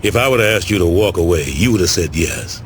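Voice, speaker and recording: Brief: weary, indignant ex-gang leader for a game cutscene; lowish voice, very varied intonation. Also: male voice, animated, brisk, slight background noise. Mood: bitter, exasperated. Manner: streetwise, exasperated, argumentative. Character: aging male former gang leader, weary, indignant, preachy. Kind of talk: video game cutscene acting.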